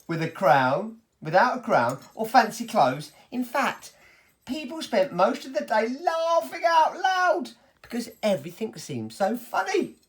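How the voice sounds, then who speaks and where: A woman's voice: on the high side, very animated, a little slow. A woman reading a story to children in a theatrical, playful and emphatic way.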